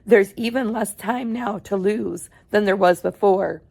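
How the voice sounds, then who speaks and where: A woman's voice: mid-range voice, a little slow, vocal fry, darkish tone. A woman voicing an eccentric, cheerful man who owns a chocolate factory, talking in a bouncy, theatrical way. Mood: gleeful.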